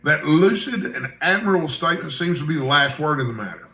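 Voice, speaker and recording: A man's voice: on the high side, lively intonation, fast, bright, forceful tone, roomy sound. A man voicing an eager, polite, self-assured young newspaper reporter in an audiobook, speaking earnestly and courteously. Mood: formal, flattering. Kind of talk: audiobook character dialogue.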